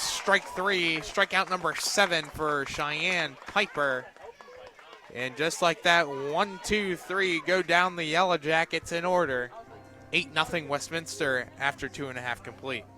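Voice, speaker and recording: A man doing live radio play-by-play commentary of a softball game from outdoors at the field. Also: fairly high pitch. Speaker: play-by-play sports announcer.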